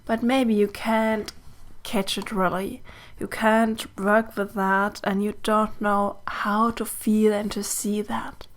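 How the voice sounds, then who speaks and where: A German woman, a native German speaker, hosting a podcast in English in a soft, gentle, often breathy and hushed manner. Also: medium pitch, flat delivery, takes it slowly. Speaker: podcast host and mentor. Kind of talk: slow reflective solo podcast talk.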